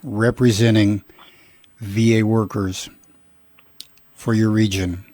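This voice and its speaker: A man's voice: lowish voice, a little mellow, no room sound. Man interviewing over the phone, dry sound. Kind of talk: phone interview, conversational questioning. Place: telephone call. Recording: recorded telephone call.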